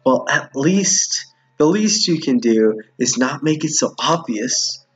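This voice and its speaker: A man's voice: medium pitch, expressive, takes it slowly, breathy edge, soft background music. A man voicing a cold, bullying male school principal for a visual novel, commanding and threatening. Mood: stern.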